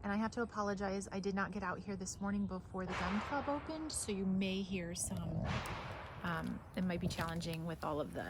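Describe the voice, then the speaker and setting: A woman's voice: medium pitch, a little fast. A woman hosting a casual garden vlog, chatting in a rambling, enthusiastic, gushing way as she walks around outdoors. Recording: noisy outdoor ambience.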